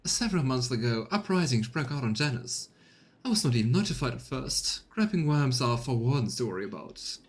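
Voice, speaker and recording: A man's voice: mid-range voice, big pitch swings, fairly quick. A man voicing an anxious, haughty noblewoman governor in a formal, obsequious, theatrical manner for a video game. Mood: formal, defensive.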